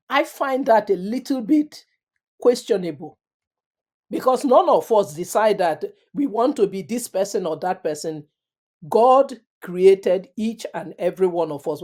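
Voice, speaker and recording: A middle-aged woman with an African accent tells her own story as an interview guest. Her voice sits at a middle pitch with a mellow, relaxed tone. She speaks earnestly and emphatically, often doubling phrases for weight. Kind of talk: reflective personal storytelling.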